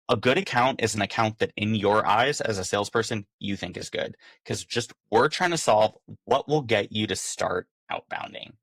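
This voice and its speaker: A man talks informally and at length as an interview guest, slipping into imagined quotes. His voice sits fairly low with plenty of vocal fry, and the recording is close and dry. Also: a bit bright, no echo. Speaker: podcast guest, business operations director. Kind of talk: casual, conversational interview answers.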